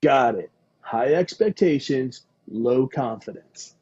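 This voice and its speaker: A man's voice: mid-range voice, lively intonation, takes it slowly, dark tone, breathy edge, exaggerated voice. A man playing a cocky, dim but good-hearted slacker in a comedy table read. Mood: cluelessly confident. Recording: slightly dull, lo-fi audio.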